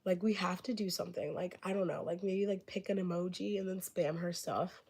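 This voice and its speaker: A woman's voice: fairly low pitch, steady tone, a little fast, a little mellow, husky. A woman reading tarot on a live stream, rambling with many filler words and losing her voice from being sick. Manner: gushing thanks to viewers. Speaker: tarot reader.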